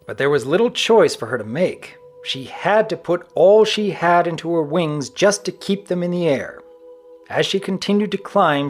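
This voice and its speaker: A man narrating a story from a script in a dramatic reading style. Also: fairly high pitch.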